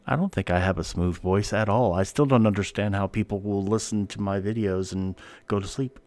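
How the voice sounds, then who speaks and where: A man hosting a live game stream, chatting casually and narrating his moves in a relaxed, self-mocking way. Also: lowish voice, fairly quick. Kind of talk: casual live-stream chat while playing.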